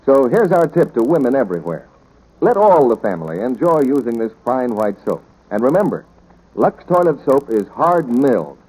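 A man's voice: medium pitch, very animated, normal speed, darkish tone, husky, poor audio. A male radio announcer delivering scripted 1940s announcements and sales patter. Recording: old 1940s radio broadcast.

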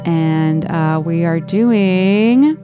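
A woman's voice: lowish voice, very slow, highs cut off, over music. A woman co-hosting a podcast in a casual, chatty book club style, talking and reading aloud from listener letters. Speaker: podcast co-host.

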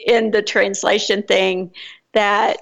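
A woman's voice: mid-range voice, little pitch movement, takes it slowly, gravelly, lo-fi sound. A woman with a Texas accent, an American living abroad, chatting casually in a rambling way with fillers and restarts. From United States. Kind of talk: casual chat.

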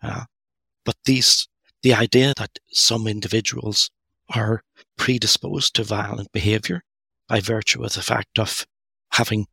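A middle-aged man talks through his ideas in a thoughtful, halting way, often restarting his sentences. His voice is very gravelly and a little husky, with a bright, forceful edge. The recording is close and dry. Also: on the low side, level tone, no room sound. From Northern Ireland. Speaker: guest author. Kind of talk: podcast interview.

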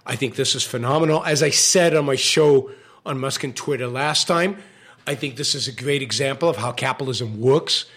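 A man's voice: medium pitch, moderate pace, fairly bright tone, slightly rough. A man hosting a live-stream show, giving opinionated commentary with many fillers and restarts, his voice starting to go. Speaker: show host.

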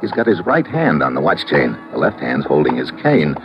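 A man's voice: rumbling low, huge pitch swings, moderate pace, over music, full character voice. An actor playing a wry, hard-boiled American secret agent in a 1950s radio drama, terse and slangy, narrating his own adventure. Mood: tense narration. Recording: dull old 1950s radio recording.

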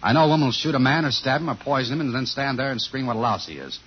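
An actor playing a tough, dogged police detective in a 1950s radio thriller, speaking in clipped, insistent lines. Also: male voice, medium pitch, animated, very fast, breathy edge. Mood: defiant. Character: tough, dogged male police detective defending his wife. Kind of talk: 1950s radio thriller acting, hard-boiled narration. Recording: muffled vintage radio recording with music.

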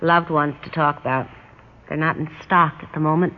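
A woman's voice: low voice, fairly even intonation, normal speed, close to an everyday voice. An actress playing a weary, lonely woman with a wry, self-deprecating, evasive manner, in 1960s radio drama style. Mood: guarded, downbeat. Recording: dull, noisy 1960s radio recording.

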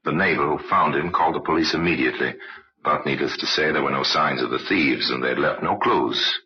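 A man giving measured, wry scripted narration for an old-time radio crime drama. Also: very deep voice, slightly rough. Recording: dull, lo-fi vintage radio recording.

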